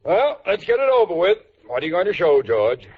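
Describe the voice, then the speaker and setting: A man's voice: high voice, sing-song, fairly quick, slightly rough, exaggerated voice. A man playing a jovial, drily joking husband who is a dinner guest in a 1940s radio comedy. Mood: dryly joking. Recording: muffled, slightly noisy old radio.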